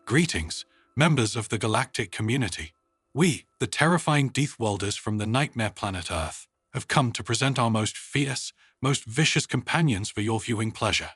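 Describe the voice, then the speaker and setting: A tough, sarcastic woman starship commander from a sci-fi audio story, read by a man. The delivery is dry and wry, with a husky edge and lively intonation over faint music. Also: medium pitch, moderate pace, no echo. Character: sarcastic, tough but soft-hearted human female starship commander.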